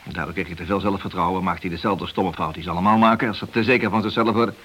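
Fast-talking low-voiced police detective. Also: male voice, steady tone, fairly bright tone. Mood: matter-of-fact. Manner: blunt, needling. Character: brusque, hard-nosed male police detective. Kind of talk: radio drama acting. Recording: dull, noisy old radio play recording.

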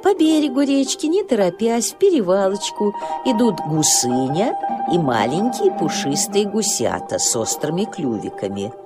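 A woman narrating a children's story in an expressive storybook style, with lilting, unhurried phrasing. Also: mid-range voice, music in the background.

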